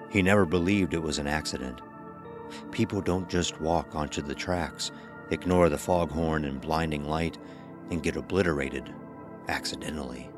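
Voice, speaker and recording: A man narrating a scripted horror story as its storyteller. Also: a bass voice.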